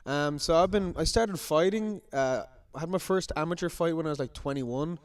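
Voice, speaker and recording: A young man raised in Ireland, a fighter chatting casually as a guest, with halting speech and lots of fillers. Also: fairly high pitch, no room sound, studio quality. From raised in Ireland, born in America. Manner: halting, many fillers and restarts. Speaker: guest, amateur MMA fighter. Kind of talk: casual interview chat.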